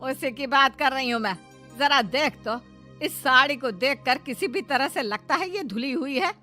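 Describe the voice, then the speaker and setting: A woman's voice: high-pitched, normal speed, over music, close to an everyday voice. A woman voicing a nagging, suspicious older mother-in-law in a cartoon moral story, with a scolding, complaining manner. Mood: indignant, scolding.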